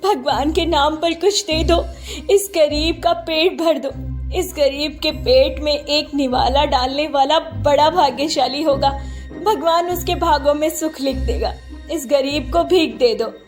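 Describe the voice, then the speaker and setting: A woman's voice: high-pitched, measured pace, faint music behind. A woman voicing a poor, humble young beggar woman in a cartoon story, speaking in a pleading, grateful manner.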